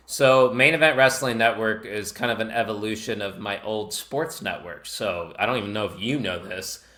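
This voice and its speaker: Low-voiced American man, earnest and chatty. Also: moderate pace, sharp tone. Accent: Chicago. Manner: talkative, earnest, enthusiastic, drifts off the point. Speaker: podcast guest, wrestling network founder. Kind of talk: casual podcast chat.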